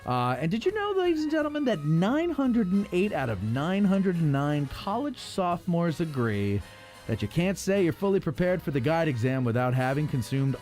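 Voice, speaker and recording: A man's voice: on the high side, darkish tone. A man hosting a podcast, chatting casually and ad-libbing promotions in a jokey, mock-announcer way. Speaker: podcast host. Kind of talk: casual ad-libbed promotional chat.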